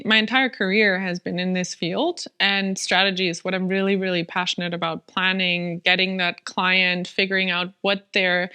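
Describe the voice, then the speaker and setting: A woman's voice: mid-range voice, bright, forceful tone, smooth. A woman from Germany, a native German speaker, co-hosting a podcast in earnest, thoughtful chat. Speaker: podcast co-host. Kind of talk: casual chat.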